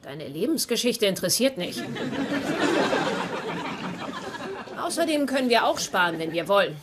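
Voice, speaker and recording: An actress playing a sarcastic, easily offended wife in a dubbed television sitcom. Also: female voice, mid-range voice, big pitch swings, fast, lightly acted. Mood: scornful. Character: sharp-tongued, sarcastic wife, quick to take offence. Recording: noisy TV sitcom audio, room ambience.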